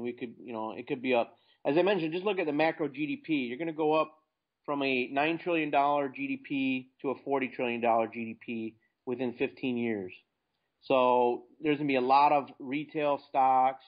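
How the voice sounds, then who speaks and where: An American man talking casually and plainly as the guest in an investing interview. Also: mid-range voice. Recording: dull lo-fi internet call.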